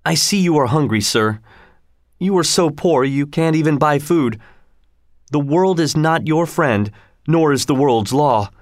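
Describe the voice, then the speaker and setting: A man's voice: fairly high pitch, expressive, a little slow, no echo, clean studio sound. A man reading aloud, voicing an impulsive young lover who talks with urgency. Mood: grim. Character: young male lover, passionate and rash.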